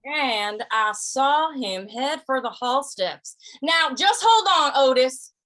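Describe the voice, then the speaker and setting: A woman's voice: sits high, lively intonation, slow, a bit bright, heavily acted. A woman acting a plain-spoken, stubborn but easygoing cowpoke in a 1950s-style Western radio drama, with folksy phrasing. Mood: wry, weary narrating.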